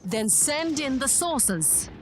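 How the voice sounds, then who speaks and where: A woman's voice: sits high, sing-song, slow, full character voice. A woman playing a vengeful, domineering female general, the villain of a science fiction audio drama, commanding and menacing. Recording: faint background noise.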